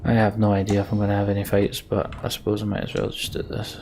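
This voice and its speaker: A man's voice: on the low side, completely flat delivery, fast, a little mellow. A man commentating on a video game, reading its text aloud and adding dry, resigned asides, with music behind him. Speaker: let's play host.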